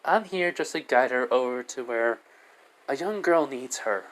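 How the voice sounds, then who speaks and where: A man takes the part of a mystical woman guide in improvised storytelling, at a medium pitch. She is calm and gentle, explaining things patiently. Clear noise sits behind the voice. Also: unhurried. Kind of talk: amateur character voice in improvised storytelling. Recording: noisy amateur recording.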